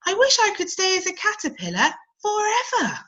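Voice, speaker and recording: A woman's voice: extremely high pitch, huge pitch swings, normal speed, pushed and bright, muffled recording, pitched above the performer's own voice, full character voice. A woman voicing a cautious, reluctant young female caterpillar in a children's storybook, sweet, hesitant and a little wistful. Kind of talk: children's storybook read-aloud.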